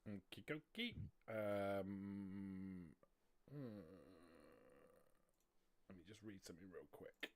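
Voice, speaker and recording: A man's voice: fairly low pitch, slow pace, long pauses, no room sound. A man running a tabletop roleplaying game, casually narrating and talking through rules as game master.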